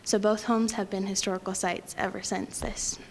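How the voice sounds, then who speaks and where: A young woman from Utah giving a prepared lecture at a podium, talking quietly with frequent ums. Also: mid-range voice, flat delivery, moderate pace, a little hiss or hum. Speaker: guest lecturer.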